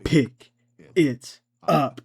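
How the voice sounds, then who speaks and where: A man voicing a taunting, menacing film villain in a slow, deliberate, drawn-out way. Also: fairly high pitch, gravelly, faint music behind, full character voice. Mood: commanding.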